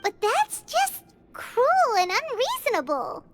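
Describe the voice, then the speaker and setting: A woman voicing a tiny, excitable childlike girl companion in an anime video game, with a squeaky voice. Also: takes it slowly, exaggerated voice. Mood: indignant. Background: game music.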